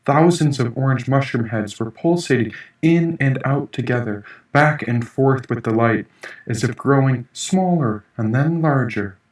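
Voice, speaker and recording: A man reading first-person fiction as an audiobook narrator, in an expressive, dramatic storytelling manner. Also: mid-range voice, measured pace. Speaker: author reading own audiobook. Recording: echoey room, distant mic.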